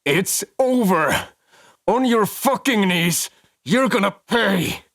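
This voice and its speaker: A man's voice: high-pitched, expressive, takes it slowly, a bit bright, full character voice. A man playing an angry, foul-mouthed spaceship crew member in a sci-fi audio drama, confrontational and hard-bitten. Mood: accusing, menacing.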